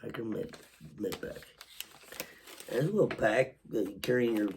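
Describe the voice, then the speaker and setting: A man hosting a casual unboxing vlog while sick with a cold, hoarse, halting, often clearing his throat, with a Southern US accent. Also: lowish voice, lively intonation, a little mellow, slight background noise. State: sick with a cold, voice partly lost. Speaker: channel host.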